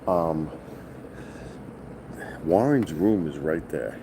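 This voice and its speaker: A middle-aged man from New with a loud voice, telling a rambling, profane story on a casual stream from his hotel. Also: rumbling low, expressive, very slow, background noise. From New York. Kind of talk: casual live-stream vlog. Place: hotel, indoors.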